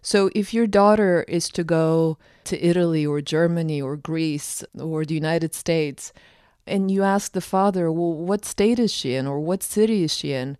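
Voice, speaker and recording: A woman's voice: low voice, moderate pace, darkish tone, smooth, no room sound, clean studio sound. A woman from Bulgaria, a documentary filmmaker, answering interview questions in an earnest, emphatic way.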